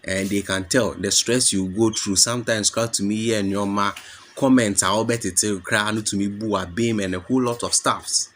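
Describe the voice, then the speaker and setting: A Ghanaian man with a fairly low voice talks his viewers through a story, explaining and praising as he goes. A faint hiss sits under the recording. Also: steady tone, moderate pace, fairly bright tone. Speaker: gossip channel presenter. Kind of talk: commentary mixing English and Twi.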